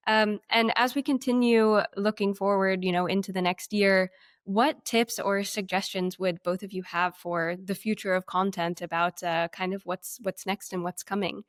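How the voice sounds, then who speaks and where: A woman hosting a webinar in a conversational way, feeling her way haltingly into her questions. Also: medium pitch, fairly even intonation, smooth, dry recording, studio quality. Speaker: webinar host, moderator. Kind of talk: conversational moderating.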